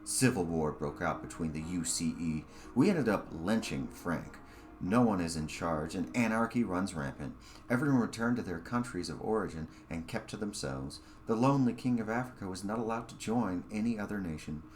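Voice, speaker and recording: A man's voice: low voice, lively intonation, moderate pace, soft background music. A man reading his own short story aloud as scripted narration.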